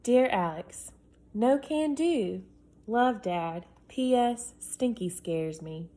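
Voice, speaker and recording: A woman voicing a patient father who answers his son's letters with calm, gently teasing replies. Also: a little high, takes it slowly. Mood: dry. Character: patient, joking father writing back to his son.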